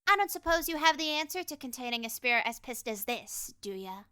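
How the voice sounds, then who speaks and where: A woman's voice: high voice, very animated, brisk, sharp tone, clean tone, heavily acted. A woman playing a skeptical, snippy teenage girl in an audio drama. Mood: sarcastic. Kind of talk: fan audio drama acting.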